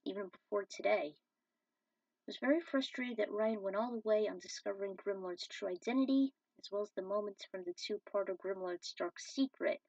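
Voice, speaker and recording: A woman's voice: medium pitch, normal speed, darkish tone, no room sound. A woman narrating and commenting on her own fan fiction as an amateur storyteller. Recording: dull, slightly distant microphone.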